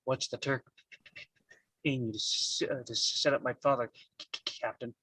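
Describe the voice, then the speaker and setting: A man's voice: medium pitch, steady tone, normal speed, pushed and bright, slightly rough, no room sound. An actor at a table read playing a resolute young man protecting his father, with a heavy stammer. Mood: tense defiance. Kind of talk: live table read of a film script.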